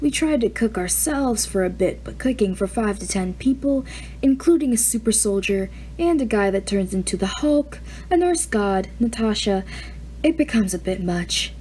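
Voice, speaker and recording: A woman's voice: medium pitch, expressive, moderate pace, warm tone, slight background noise, close to an everyday voice. A woman voicing a friendly male soldier hero who talks easily and chattily. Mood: easygoing.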